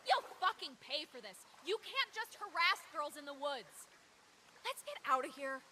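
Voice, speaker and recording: A woman's voice: high voice, sing-song, moderate pace, sharp tone, slight background noise, full character voice. An actress playing a brash, insulting American teenage party girl with a snotty, confrontational manner. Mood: furious. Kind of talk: naturalistic game voice acting.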